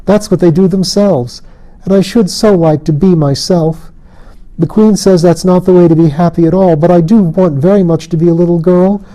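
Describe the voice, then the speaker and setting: A man's voice: high voice, fairly even intonation, normal speed, warm tone, pitched above the performer's own voice, natural voice, low-quality recording. A man doing a wistful changeling girl. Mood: pleading. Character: fretful little changeling girl held by fairies.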